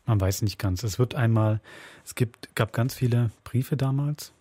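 A man's voice: medium pitch, unhurried, a little mellow, husky, no echo. A man hosting a podcast, explaining things in a casual, conversational way. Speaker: podcast host. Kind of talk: casual explanatory chat about a radio play.

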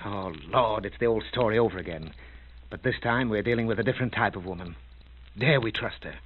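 An actor playing a brisk, commanding detective in a 1930s radio drama, with a clipped, urgent delivery, heard on a tinny, scratchy old recording. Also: male voice, fairly low pitch, slightly rough. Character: brisk commanding British detective, middle-aged man. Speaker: detective lead.

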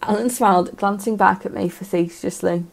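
A woman's voice: mid-range voice, fairly even intonation, moderate pace, vocal fry, slight background noise. A woman narrating a scripted first-person short story.

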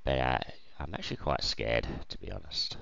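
A man with a croaky voice hosting a let's play, casually thinking aloud over a strategy game with lots of ums. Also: rumbling low, expressive, moderate pace, a bit bright, no echo, dull audio.

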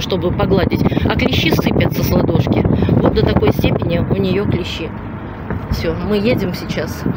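A woman at an animal shelter talks to viewers in a soft, tender, reassuring way as she tends a sick dog. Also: medium pitch. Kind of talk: addressing viewers while caring for a sick dog. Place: shelter room indoors. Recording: loud background noise.

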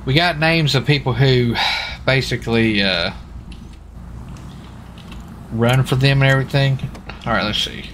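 Bright-voiced man in casual game roleplay. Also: mid-range voice, unhurried, pauses often, a little hiss or hum. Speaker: streamer playing a US marshal in GTA roleplay.

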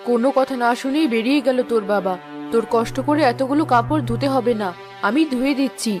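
Woman as a worried cartoon mom, talks fast, kinda high, protective and pleading. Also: darkish tone, soft background music, lightly acted. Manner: concerned, protesting. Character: protective, worried mother pleading for her daughter. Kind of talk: cartoon dub acting.

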